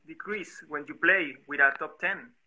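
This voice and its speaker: A male interviewer with a very bright, sharp, slightly high voice, a native Spanish speaker. He puts questions in halting English in an informal online interview, often searching for the right word. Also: husky, dull audio. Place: video call on social media live stream. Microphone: video call.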